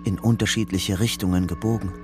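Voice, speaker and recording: A man acts an anxious young fugitive in a radio crime play. His voice is on the low side and guarded, set over prominent music. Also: steady tone, brisk, close to an everyday voice. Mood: brooding, tense. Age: young adult. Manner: brooding narration alternating with urgent questioning. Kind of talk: German radio crime drama, first-person narration and dialogue.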